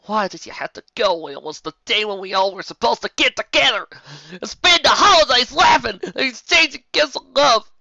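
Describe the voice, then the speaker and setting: A reader voicing a grieving young farm mare pony who cries out high and loud. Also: lively intonation, fairly quick, gravelly, few pauses, no room sound, lo-fi sound, exaggerated voice. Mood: anguished. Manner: crying out in grief, rising to shouts.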